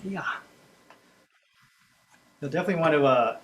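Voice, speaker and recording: A man's voice: a little high, lively intonation, normal speed, background noise. A man from Boston with a Boston accent, leading a family folk music workshop on a video call with easy laughs and lots of fillers. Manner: chatty, laughs easily, many fillers. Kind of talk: casual online workshop talk.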